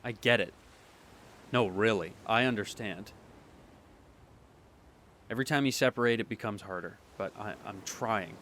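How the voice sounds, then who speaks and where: A young man playing a heartbroken boyfriend in an audio drama monologue, speaking earnestly and haltingly. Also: on the low side, fairly bright tone, slight background noise, lightly acted. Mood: weary, hurt. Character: heartbroken young boyfriend pleading with his distant partner.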